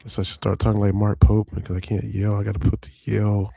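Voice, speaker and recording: Hoarse male podcast host with a gravelly, croaky edge, loose rambling delivery, dry close mic. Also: fairly low pitch, completely flat delivery, fast talker, mellow, no echo. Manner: loose, rambling, repeats himself. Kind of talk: casual sports chat.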